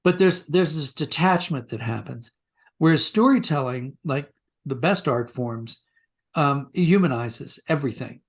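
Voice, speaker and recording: A man, a writer being interviewed, talking reflectively and haltingly as he rephrases his thoughts. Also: on the high side, lively intonation.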